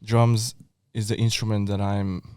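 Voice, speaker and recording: A young Hungarian man chatting on a podcast, his English halting and full of "uh"s as he gathers his words. His voice sits fairly low and comes across close and dry, with no room sound. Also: little pitch movement, a little mellow, clean tone, no echo. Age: young adult. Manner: hesitant, many fillers and restarts in non-native English. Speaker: podcast co-host. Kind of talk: casual chat. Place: indoor room, seated in front of camera.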